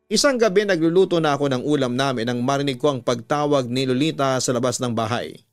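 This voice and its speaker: A Filipino man tells a story as a radio host, with music playing underneath. His voice is mid-pitched and close in a dry studio. He narrates in a measured, deliberate way, stretching the ends of his phrases. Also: no echo. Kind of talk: scripted narration of a listener's horror story.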